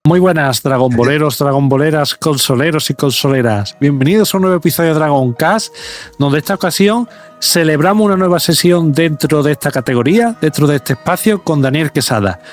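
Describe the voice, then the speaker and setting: A man's voice: fairly high pitch, fairly quick. A man presenting as a podcast host, reading a scripted introduction. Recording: music bed behind the voice. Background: background music.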